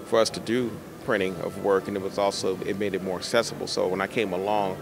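Quick-talking middle-aged man, lowish voice. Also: level tone, gravelly. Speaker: interviewed professional artist. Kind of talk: conversational interview answers. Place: indoor art expo in a convention center. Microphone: handheld interview microphone. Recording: busy exhibition hall crowd behind. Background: crowd noise.

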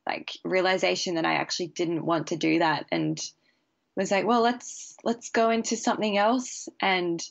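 A young woman, a bassist chatting casually as a podcast guest in a relaxed, easy way. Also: moderate pace, gravelly, dull audio. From Australia. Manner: relaxed, chatty, laughs easily. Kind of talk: casual interview chat.

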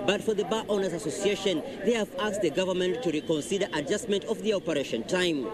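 A man's voice: sits high, fast talker, crowd noise. A middle-aged man with a Kenyan accent delivering a scripted report to camera outdoors. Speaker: news reporter. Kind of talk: piece to camera sign-off. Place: outdoors by a park fence. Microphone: handheld mic. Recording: noisy outdoor street.

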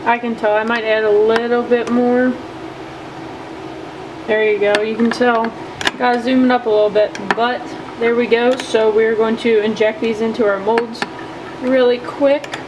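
A woman chatting casually and upbeat while showing how she makes soft plastic fishing baits at her home workbench. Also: on the high side, level tone, unhurried. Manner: chatty, upbeat, filler-heavy. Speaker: bait maker showing her process. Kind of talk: casual hands-on tutorial. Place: home workshop bench. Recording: noticeable air-conditioning hum.

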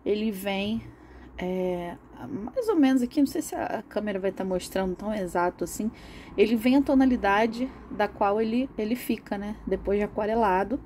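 Slightly hoarse chatty woman. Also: medium pitch, moderate pace, dark tone, a little hiss or hum. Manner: chatty, rambling, with laughs and restarts. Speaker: art channel host. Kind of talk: casual chat demonstrating coloring.

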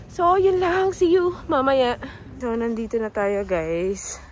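Filipino woman in her 30s, selfie phone vlog on a street, kinda high voice, slow easy talk, street noise behind. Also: big pitch swings. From Philippines. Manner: chatty, light laughs, apologetic asides. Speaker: vlogger. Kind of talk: casual walking vlog, mixing Tagalog and English. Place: outdoors on a residential street in winter. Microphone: phone held at arm's length, no external mic.

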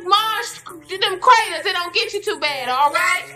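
A woman playing Edna, a fretful, scolding old American woman in a live improv radio drama, with drawn-out pleading exclamations. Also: very high-pitched, animated, sharp tone, full character voice. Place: on stage at a live show.

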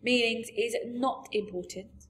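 A woman voicing a big, friendly male giant from a storybook, gentle and boastful, with muddled grammar and emphatic delivery. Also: fairly high pitch, steady tone, a little slow, lightly acted. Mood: explaining. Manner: garbled grammar, invented words, emphatic. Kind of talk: storybook character voice.

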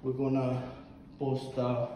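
A man talking casually through a drywall job-site walkthrough, plain and halting, filming on his phone inside a house under construction. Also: mid-range voice, monotone, dark tone, slight background noise. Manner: plain, halting with fillers and restarts. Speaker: drywall contractor.

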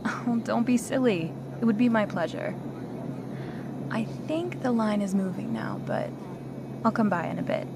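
A woman's voice: medium pitch, expressive, a little slow, long pauses. Attentive flight attendant played by a woman, cabin noise. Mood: friendly, attentive. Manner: polite, reassuring customer-service patter. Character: friendly, attentive female flight attendant, soothing and solicitous. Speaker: voice actor in an audio roleplay. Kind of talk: scripted audio drama. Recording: clear cabin noise behind the voice. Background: airplane cabin ambience.

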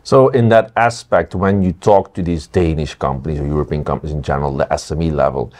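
A man's voice: rumbling low, fast talker, darkish tone. A man from Belgium in his forties, co-hosting an interview and asking long, winding questions in a studio. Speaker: co-host. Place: studio with city-view windows.

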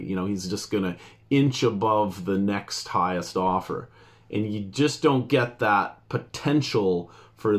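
A man, a realtor explaining things informally to camera in a conversational, emphatic way. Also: fairly low pitch. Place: small indoor office.

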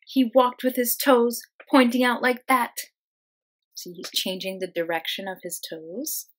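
Young lady teacher reading a picture book to kids, highish voice, expressive, simple explanations. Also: measured pace. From United States. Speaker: early childhood teacher. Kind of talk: children's storybook read-aloud. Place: small room at home, indoors.

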